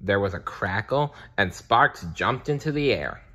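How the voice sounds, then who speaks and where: Male children's read-aloud narrator: deliberate and expressive, unhurried, with a bright, raspy edge. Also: lowish voice. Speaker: storybook reader.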